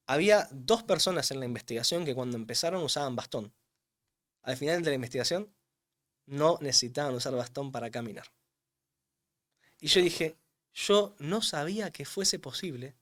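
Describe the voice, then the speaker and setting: This is a man, talking as an interview guest. He explains with emphasis and leans on repeated words. His voice sits a little high for a man. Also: a bit bright. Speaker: strength coach for older adults, guest. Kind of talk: interview answers.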